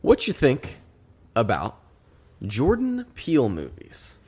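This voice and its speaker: A man's voice: mid-range voice, wildly swooping pitch, slow, a little mellow, husky. A young man co-hosting a casual chat podcast, laid-back and drawling, laughing often. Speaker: podcast co-host.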